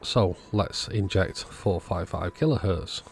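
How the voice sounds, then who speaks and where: A man, host of an electronics repair video, calmly thinking aloud as he methodically works on a radio at his workbench. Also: deep voice, slow pace, a little hiss or hum. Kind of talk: casual narrated repair walkthrough.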